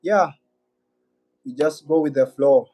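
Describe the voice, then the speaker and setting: A man's voice: a little high, takes it slowly, warm tone, soft background music. A man with a Ugandan accent hosting a casual live-stream Q&A, rambling and musing aloud as he reads out viewer comments. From Western Uganda.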